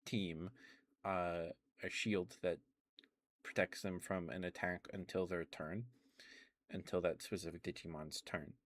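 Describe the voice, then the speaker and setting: A very deep-voiced man rambles softly about games for an ASMR stream. He hesitates often and restarts his sentences, with soft laughs and bits of whisper. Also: little pitch movement, a little slow. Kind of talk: rambling ASMR game chat.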